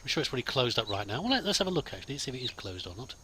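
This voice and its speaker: A man's voice: mid-range voice, very animated, very fast, background noise. A man giving casual, improvised roleplay commentary over a video game, musing and thinking aloud as he plays. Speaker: gamer, let's play host.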